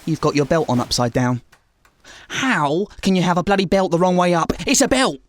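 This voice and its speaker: An actor playing a self-pitying, aggrieved husband in a comedy audio drama, grumbling in a blokeish way. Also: male voice, high voice, lively intonation, moderate pace, no room sound.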